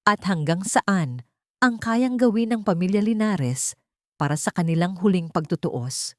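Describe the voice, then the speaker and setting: A woman's voice: medium pitch, lively intonation, unhurried, no room sound, clean studio sound. A woman reading a dramatic scripted story as an audiobook narrator.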